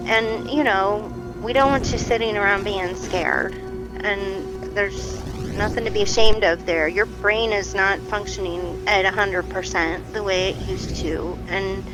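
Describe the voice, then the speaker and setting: Music sits behind an actress as a woman therapist, patient and reassuring. She talks in a natural, hesitant, conversational way, explaining things gently as in an intimate audio drama. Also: medium pitch, expressive, unhurried, lightly acted, rough recording. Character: reassuring, patient adult female therapist checking on a client. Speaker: clinician on a phone check-in. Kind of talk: naturalistic fiction podcast dialogue.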